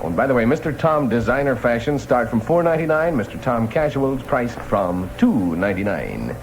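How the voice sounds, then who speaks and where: A man's voice: mid-range voice, sing-song, a little fast. An American man hosting a 1960 top-40 radio show, with playful, hip patter and live ad reads. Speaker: radio disc jockey. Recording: 1960 radio aircheck with music. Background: music.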